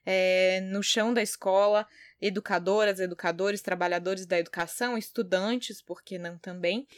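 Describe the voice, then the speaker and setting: A woman's voice: mid-range voice, steady tone, normal speed, clean tone, no room sound, studio quality. A woman with a Brazilian Portuguese accent presenting a podcast as co-host. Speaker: podcast co-host, education campaign coordinator.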